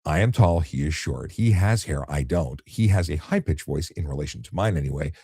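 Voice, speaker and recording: A middle-aged man with a low voice, calmly explaining things in a relaxed late-night live chat as he reads out viewer questions. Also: brisk, husky, no echo, studio quality. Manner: calm, explanatory, many restarts and fillers. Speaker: retired traffic police officer, live-stream host.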